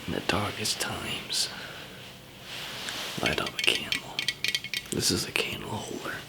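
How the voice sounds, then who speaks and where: A man performs an ASMR roleplay in a hushed, whispery murmur. His low voice is heavily gravelly and creaky. He explains things gently and haltingly, taking his time between phrases. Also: sing-song. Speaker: ASMR roleplayer playing a survivor camp member handing out supplies.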